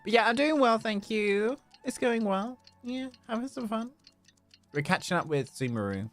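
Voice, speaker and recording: A man's voice: high-pitched, very animated, normal speed, fairly bright tone. A man giving casual live gaming commentary, muttering asides to himself and to his chat. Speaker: video game livestreamer. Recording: faint game music behind.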